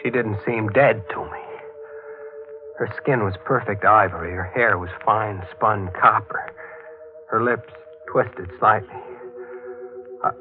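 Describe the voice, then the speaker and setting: An earnest young newspaperman in a 1940s radio drama. He speaks low, with a haunted, confessional intensity. The recording is tinny and narrow, with music clearly playing behind him. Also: takes it slowly, long pauses. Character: earnest, haunted young male rookie reporter.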